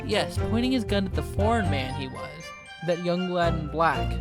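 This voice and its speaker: A woman voicing a pompous middle-aged military sergeant in a game, giving a stiff, clipped report in odd word order, over loud game music. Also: fairly low pitch, animated, normal speed, close to an everyday voice. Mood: stiff, formal.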